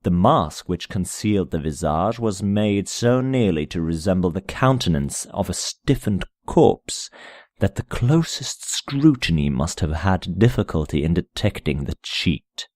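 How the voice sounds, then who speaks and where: A man narrating a gothic tale as a dramatic reading, in a solemn, measured manner with deliberate phrasing. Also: low voice, animated, darkish tone, no room sound, clean studio sound. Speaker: amateur audiobook narrator.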